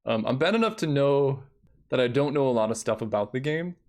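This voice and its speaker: A man's voice: mid-range voice, expressive, fairly quick. A young man streaming a card game, talking casually in a relaxing voice, thinking aloud and counting under his breath.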